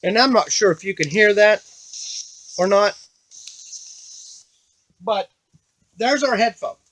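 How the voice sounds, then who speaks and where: Man, high-pitched voice, casual hands-on tutorial, unscripted, step-by-step explanations. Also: takes it slowly, fairly bright tone, low-quality recording. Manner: explanatory, step-by-step, addressing the viewer. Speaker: device demonstrator.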